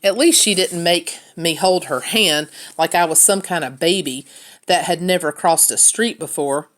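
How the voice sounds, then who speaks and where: Lower-pitched woman reading a story aloud. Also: normal speed. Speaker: read-aloud narrator, teacher. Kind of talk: reading a children's novel aloud, first-person storytelling.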